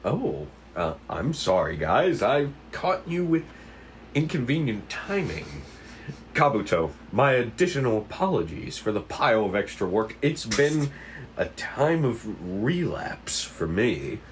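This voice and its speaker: A man's voice: mid-range voice, big pitch swings, unhurried, slight background noise. A man playing a polite, awkward, apologetic older detective in an amateur table read, rambling courteously.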